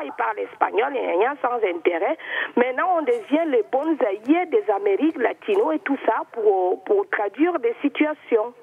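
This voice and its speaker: A woman with an Equatorial Guinean accent calls in to a talk show over a tinny phone line. Her voice sits high and comes across husky, and her cold and sore throat are audible. She speaks with emphatic, emotional conviction. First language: Spanish. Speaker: caller. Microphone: telephone.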